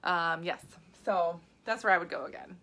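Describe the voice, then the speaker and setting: A woman's voice: on the low side, normal speed, pushed and bright. Chatty woman rambling to viewers. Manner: chatty, rambling, with many asides and restarts. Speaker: health coach hosting a live video. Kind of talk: casual live-stream talk to viewers.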